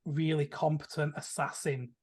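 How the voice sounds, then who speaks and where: A man with a slightly high voice chats as a panelist on a film podcast. He explains his views conversationally, often softening them as he goes. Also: level tone, normal speed, breathy edge. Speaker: podcast panelist reviewing films. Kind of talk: casual conversational commentary.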